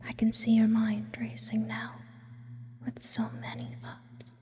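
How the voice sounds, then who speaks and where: Slow whispering demoness played by a woman, drenched in reverb. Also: on the high side, completely flat delivery, darkish tone, close to an everyday voice. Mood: teasing, menacing. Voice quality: breathy whisper. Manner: slow, philosophical, ornate wording with lingering pauses. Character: immortal female sleep paralysis demon, brooding, eloquent, lonely. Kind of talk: ASMR roleplay. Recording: close whisper with long reverb.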